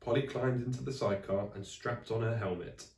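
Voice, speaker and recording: A man reading a children's story aloud in expressive narration, like a teacher to a class. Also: fairly low pitch, a little fast, far from the mic. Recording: echoey room, away from the mic.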